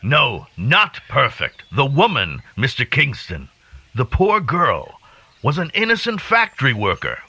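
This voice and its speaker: An actor playing a stern, principled senior member of a secret society in an audio drama, formal and reproving. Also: male voice, mid-range voice, wildly swooping pitch, a little slow, pushed and bright, husky, no echo, full character voice. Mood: indignant.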